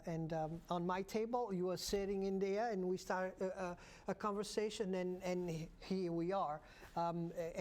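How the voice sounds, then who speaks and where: A man hosting a local cable talk show, speaking haltingly with many fillers and restarts. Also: high-pitched, darkish tone. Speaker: host.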